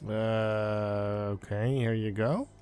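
A man's voice: fairly low pitch, steady tone, drawn-out words, a little mellow, soft background music. A man doing casual let's play commentary, sometimes reading game dialogue aloud.

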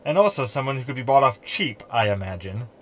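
A man's voice: medium pitch, very animated, brisk, fairly bright tone. A man playing a sarcastic, scheming hologram in a sci-fi comedy audio drama, wordy and mocking.

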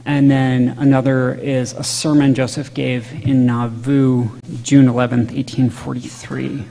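Gravelly man lecturing in a hall. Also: mid-range voice, little pitch movement, a little slow, dark tone. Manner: earnest, explanatory, with self-corrections. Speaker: conference speaker. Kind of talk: conference lecture on church history. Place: large conference hall, at a lectern. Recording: long hall reverb.

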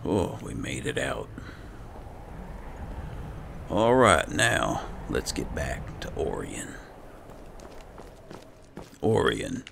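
Very deep, gravelly man, unhurried. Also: sing-song, noisy background. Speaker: gamer playing in character. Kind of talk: casual roleplay game commentary. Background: game music.

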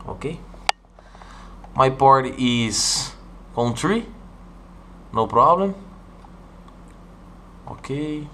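A man from Brazil with a Brazilian Portuguese accent, walking through a hardware setup in halting English, stopping often to ask "okay?". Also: mid-range voice, lively intonation, sharp tone, a little hiss or hum. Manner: halting, with many "uh" and "okay?" checks. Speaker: hobbyist showing a hardware setup. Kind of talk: hands-on tutorial walkthrough. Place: indoor room at a desk.

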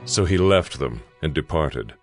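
A man's voice: rumbling low, lively intonation, a little slow, no echo. A man reading scripted narration in a dramatized scripture production. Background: background music.

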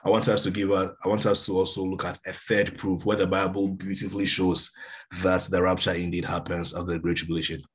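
A man's voice: sits low, level tone, fairly quick. A man in his forties giving emphatic Bible teaching over a video call from a room at home. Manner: emphatic, gestures while teaching. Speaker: preacher. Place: room with a window, indoors. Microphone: laptop webcam on a video call.

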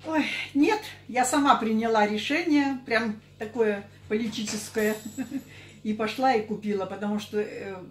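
A woman of sixty-seven giving halting, unscripted interview answers, often repeating herself. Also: on the high side, a little hiss or hum. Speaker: interviewee giving a product testimonial. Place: small curtained indoor office. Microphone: camera a couple of metres away. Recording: echoey room.

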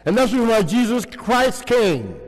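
Older pastor preaching slowly in a church. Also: male voice, very high-pitched, big pitch swings. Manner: preaching with call-and-response questions to the congregation. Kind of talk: sermon. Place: large church sanctuary, indoors. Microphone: pulpit microphone. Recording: long reverb of a church hall.

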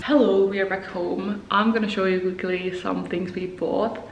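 A young woman vlogger chats casually to her camera indoors at home. She is tired, yet talks with an animated manner from a little way back in the kitchen. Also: mid-range voice, normal speed, reverberant, over loud music. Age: young adult.

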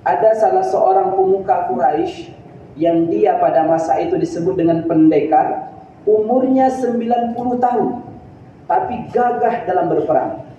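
A man in his thirties delivers a Friday sermon as an earnest storyteller, pushing his voice up for emphasis. His slightly high, very dark and mellow tone sits far from the mic in a very echoey room. The webcam sound is dull and lo-fi, with a faint hiss. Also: very animated, normal speed. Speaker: Friday preacher. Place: room in front of a green-screen backdrop.

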